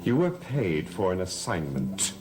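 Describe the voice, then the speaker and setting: An actor playing a brooding, commanding master of a gothic island estate, terse and grave. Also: male voice, sits low, huge pitch swings, a little slow, noisy background, exaggerated voice. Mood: stern, commanding. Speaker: soap opera actor. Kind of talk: gothic soap opera acting. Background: loud dramatic music.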